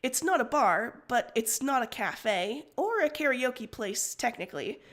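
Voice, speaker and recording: A woman reading fan fiction aloud on a livestream in an expressive, informal way. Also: a little high, a little slow. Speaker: story reader on a livestream.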